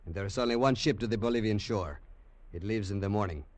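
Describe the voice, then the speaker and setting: A man's voice: fairly low pitch, fast talker, no room sound, close to an everyday voice. A stern military commandant, played by an actor in a 1950s radio drama. He speaks in firm, declarative bursts, recorded dry and close. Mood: determined.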